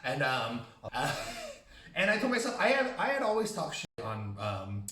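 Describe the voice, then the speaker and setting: A man's voice: a little high, wildly swooping pitch, a little slow, bright, forceful tone. A man co-hosting a casual chat show, joking, campy and self-mocking as he tells a long story. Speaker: podcast co-host. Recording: roomy, mic at a distance.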